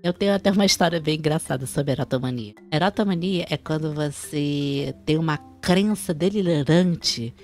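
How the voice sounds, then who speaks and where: A woman's voice: deep voice, normal speed, hardly any pauses, a little mellow. A young woman with a Brazilian accent hosting a casual explanatory chat, with music under her voice. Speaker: video host.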